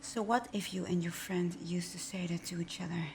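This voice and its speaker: A woman playing a supervillain thief whose teasing bravado hides a timid, wounded heart, in a breathy, often whispered, intimate ASMR roleplay style. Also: fairly low pitch, fairly even intonation, normal speed, a little mellow, a little hiss or hum, close to an everyday voice. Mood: guarded, flustered. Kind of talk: ASMR roleplay audio drama.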